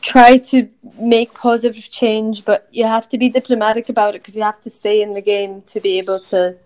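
A young woman from Syria talks in a relaxed group discussion, her voice sitting a touch higher than most. She thinks aloud, and her long sentences trail off, broken by frequent laughs. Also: a little fast, rough recording. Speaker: discussion panelist.

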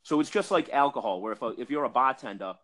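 Man, Boston accent, rambling casual podcast talk, mid-pitched voice. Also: lively intonation, fast talker, fairly bright tone. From Massachusetts. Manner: rambling storyteller, many fillers and restarts. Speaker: cannabis industry guest.

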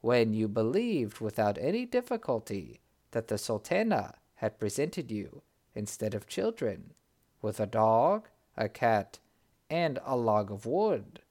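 A storyteller voicing a wise talking bird that speaks in a measured, solemn way. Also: medium pitch, sing-song, a little mellow, pitched below the performer's own voice.

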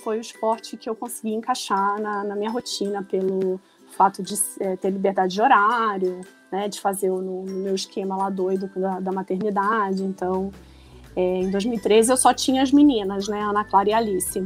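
A woman in her forties from Rio de Janeiro with a Carioca accent, a guest on a casual podcast, chatty and quick to laugh. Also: mid-range voice, fairly even intonation, faint music behind. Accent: Carioca Brazilian Portuguese. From Rio de Janeiro, Brazil. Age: 40s. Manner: chatty, laughing easily, many restarts.

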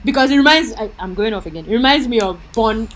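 A woman, co-hosting a casual chat, lively and blunt, often trailing off quietly. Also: fairly high pitch, fast talker, a little hiss or hum. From Nigeria. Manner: lively, swearing, trails off softly. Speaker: podcast co-host.

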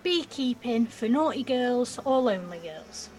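An actress playing a blunt, giddy nineteen-year-old apprentice in an audio drama, with a cheeky, informal way of talking. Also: female voice, a little high, animated, a little slow, darkish tone, slight background noise. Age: young adult. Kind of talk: naturalistic audio drama acting.

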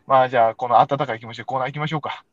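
A man's voice: medium pitch, fairly even intonation, racing, lo-fi sound. A man co-hosting an amateur variety radio show in casual talk. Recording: noisy amateur recording.

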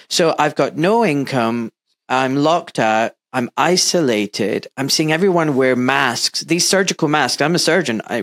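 A man in his fifties from Glasgow with a mild Scottish accent, a surgeon telling his story earnestly and emphatically as an interview guest. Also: mid-range voice. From Glasgow, Scotland. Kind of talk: candid interview talk.

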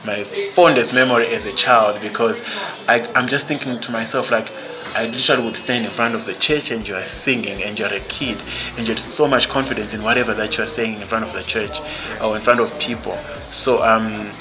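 A man with a Zimbabwean English accent and a fairly low voice talks as a podcast guest. He is outspoken and blunt, and he restarts his sentences often as he thinks aloud. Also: poor audio. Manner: many fillers and restarts. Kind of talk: candid interview conversation.

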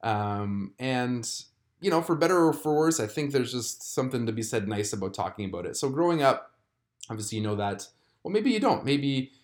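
Croatian-born guy in his 30s, solo podcast, kinda halting and thoughtful, lots of um and you know. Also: medium pitch, expressive. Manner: reflective, halting with many fillers and restarts. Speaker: podcast host. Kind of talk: candid solo podcast confession.

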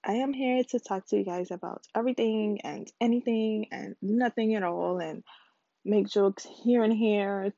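A woman in her twenties from the Virgin Islands, hosting her own podcast in a rambling, chatty way with a slightly squeaky voice. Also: creaky, dark tone, dry recording, highs cut off. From United States Virgin Islands. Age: 20s. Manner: rambling, chatty, self-correcting. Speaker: podcast host. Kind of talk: casual solo podcast intro.

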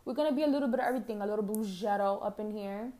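A woman's voice: medium pitch, very fast, dark tone. A young woman from Harlem with a New York accent, hosting her own podcast in a brash, profane, chatty way from her couch at home. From Harlem, New York City. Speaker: podcast host. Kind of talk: casual solo podcast monologue.

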